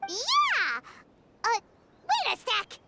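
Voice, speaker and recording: A woman voicing a small, excitable, childlike fairy companion in a video game, chirpy, blunt and earnest. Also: extremely high pitch, extremely slow, vocal fry, very noisy, full character voice. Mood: excited. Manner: chirpy, exclamatory, folksy slang. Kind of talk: video game character acting. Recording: music playing behind the voice.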